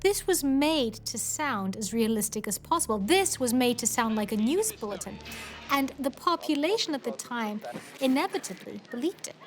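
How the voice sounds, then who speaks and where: Female documentary talking head, high pitch, very varied intonation. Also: very animated, moderate pace, over music. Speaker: commentator. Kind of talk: documentary interview commentary.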